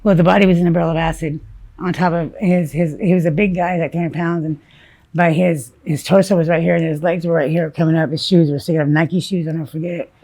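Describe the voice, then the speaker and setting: A woman from South Dakota, whose first language was Spanish, telling her story haltingly in an interview, full of fillers and restarts. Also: deep voice, fairly even intonation, warm tone. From South Dakota, USA. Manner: halting, many fillers and restarts, blunt about grim details.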